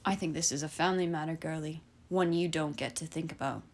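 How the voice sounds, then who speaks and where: A woman's voice: low-pitched, steady tone, brisk, voice pushed down. A woman voicing a smug, menacing older male gangster with a purring, patronizing manner as she reads her own story aloud. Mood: smug menace. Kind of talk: reading own fiction aloud.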